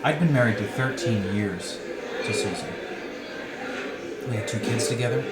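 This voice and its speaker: A man's voice: medium pitch, moderate pace, close to an everyday voice. Man acting a giddy, doomed man, roomy echo. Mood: wistful sorrow. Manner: giggly, theatrical, blunt. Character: sickly, freckled, grieving yet giddy tipsy man, wryly blunt. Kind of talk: dramatized novel reading. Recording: roomy echo, loud music behind.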